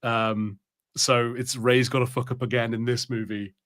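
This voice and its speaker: A man's voice: medium pitch, level tone, moderate pace, fairly bright tone, no echo, studio quality. A man co-hosting a podcast, chatting casually and jokily about films, with some swearing. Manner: informal, jokey, sweary. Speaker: podcast co-host. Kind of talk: casual film chat on a watch party.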